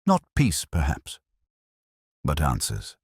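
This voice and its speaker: A man voicing a cool, relentless Victorian detective with formal, deliberate speech in an audiobook story. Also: rumbling low, huge pitch swings, husky, dry recording, studio quality, full character voice. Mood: soft but firm.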